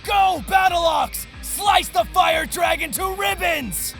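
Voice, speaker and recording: An actor playing an arrogant, gloating young male card-game villain in an anime-style audio drama, theatrical and taunting, swinging from sly murmurs to shouts. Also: extremely high pitch, slow pace, gravelly, faint music behind, full character voice. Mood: gloating, battle fury.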